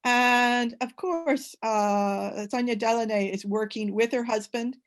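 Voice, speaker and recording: A woman on an academic panel, talking in a measured, hesitant way with many filler sounds over a video call. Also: a little high.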